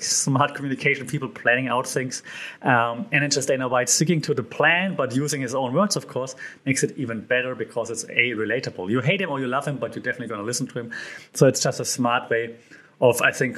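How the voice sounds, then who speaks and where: Man, podcast host, casual chatty delivery, lots of fillers, mid pitch, slightly hoarse. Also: fairly bright tone. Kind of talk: solo podcast commentary.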